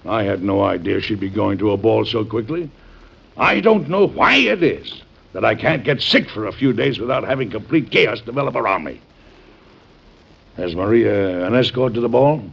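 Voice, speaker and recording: A man's voice: on the low side, sing-song, moderate pace, vocal fry, a bit bright, husky, exaggerated voice. An actor playing a fussy, overprotective father who is an ailing President, stern and fretful, in old-time radio drama style. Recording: dull lo-fi old radio recording.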